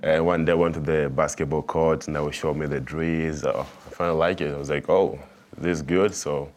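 A young man from Tanzania with a Tanzanian accent, a basketball player answering interview questions in a soft, halting way. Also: very deep voice, steady tone. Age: young adult. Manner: soft-spoken, halting, simple phrasing with fillers. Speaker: college basketball player guest.

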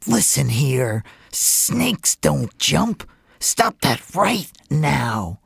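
Man voicing a harsh mother snake, commanding, slow, stern, storybook telling. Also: on the high side, big pitch swings, creaky, fairly bright tone, breathy edge, dry recording, studio quality, full character voice.

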